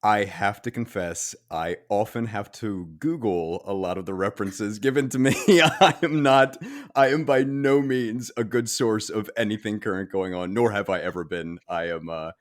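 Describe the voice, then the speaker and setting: A man's voice: on the low side, moderate pace. An older man, a voice actor, chatting casually in an interview with castmates. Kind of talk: casual chat.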